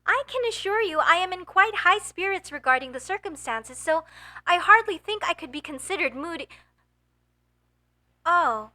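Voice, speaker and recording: A woman's voice: extremely high pitch, pushed and bright, full character voice. A woman voicing a young, earnest and flustered elf mage student in a comedic audio roleplay, with formal, hesitant phrasing. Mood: puzzled, polite. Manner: formal, slightly stilted phrasing with nervous hesitations.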